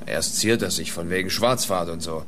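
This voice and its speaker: A man playing a rough, colloquial fairground man under police questioning in a radio crime play. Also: low voice, moderate pace, noisy background. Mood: grudging. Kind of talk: radio crime drama acting.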